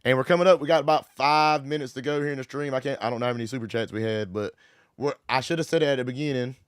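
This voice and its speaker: A man hosting a casual livestream chat, voice hoarse from a cold, talking in a relaxed, rambling way. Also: medium pitch, very fast, no echo, studio quality. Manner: relaxed, rambling, Southern-inflected "y'all". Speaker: livestream host.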